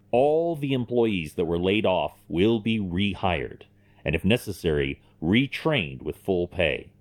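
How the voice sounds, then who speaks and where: A man plays a cool billionaire businessman in an audio drama. His low voice moves expressively, making each point with deliberate authority and a wry edge.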